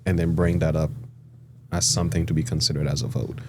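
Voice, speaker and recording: Deep-voiced man, halting and soft-spoken. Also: little pitch movement. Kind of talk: casual group discussion. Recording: noisy background hiss.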